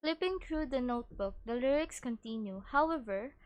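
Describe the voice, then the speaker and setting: Higher-voiced woman game streamer. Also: moderate pace. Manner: expressive, stumbles over words when reading aloud. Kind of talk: casual playthrough commentary, reading game text aloud.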